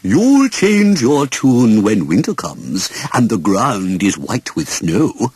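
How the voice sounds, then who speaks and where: A man's voice: fairly low pitch, big pitch swings, slow, a little mellow, husky, no room sound, exaggerated voice. A man voicing a stern, disapproving Queen of Ants in a storybook, with a warning manner.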